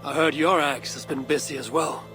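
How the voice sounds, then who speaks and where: An actor playing a steady, loyal Viking clan leader in a video game, measured and earnest, with a gravelly voice. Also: male voice, a little high, music in the background, natural voice. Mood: measured, respectful.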